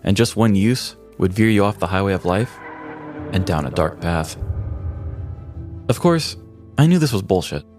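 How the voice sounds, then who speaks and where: A man's voice: sits low, lively intonation, moderate pace, pauses often, a little mellow, soft background music. An American man co-hosting a comedy podcast, telling stories with wry, dry humor. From American Midwest. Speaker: podcast co-host. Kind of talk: casual comic podcast talk and scripted storytelling.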